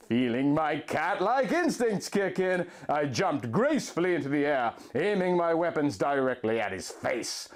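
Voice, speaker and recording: A man reading a fan story aloud in a mock-dramatic storybook style. His voice sits slightly high for a man and rises and falls a great deal. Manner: mock-dramatic, lively delivery.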